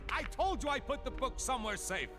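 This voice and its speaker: A man's voice: extremely high pitch, expressive, a little fast, loud music behind the voice. A man playing a self-important, forgetful sorcerer hero in a video game, theatrical and fussy. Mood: flustered. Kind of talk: video game cutscene acting.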